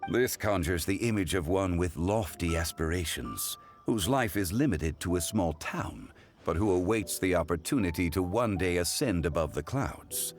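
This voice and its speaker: An actor playing a calm, scholarly man who explains and judges poetry in a measured, formal way. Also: low-pitched, faint music behind. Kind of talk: game cutscene voice acting.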